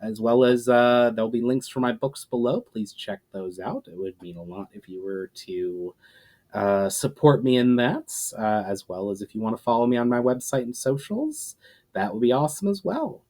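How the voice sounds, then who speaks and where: A man's voice: mid-range voice, expressive, moderate pace, a little mellow. Male author reading and presenting fantasy. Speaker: author reading his own fantasy fiction. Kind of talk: dramatic scripted narration, casual sign-off.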